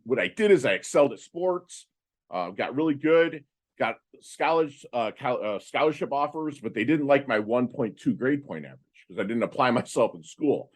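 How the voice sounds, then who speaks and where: A man in his fifties, a podcast guest, telling his life story in a casual, animated way with many fillers and restarts. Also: mid-range voice, normal speed. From Philippines. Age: 50s. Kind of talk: casual interview talk.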